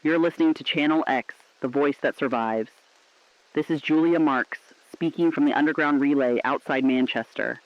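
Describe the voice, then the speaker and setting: A man's voice: fairly high pitch, moderate pace, dry recording, highs cut off, natural voice. A man playing a weary but hopeful broadcaster in an underground relay, speaking in a solemn, poetic, reflective style. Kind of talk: scripted apocalyptic radio broadcast narration.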